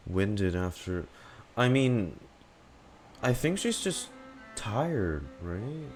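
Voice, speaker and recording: A man giving casual, halting, sweary reaction commentary on a game, musing aloud with music behind. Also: on the low side. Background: soft game music.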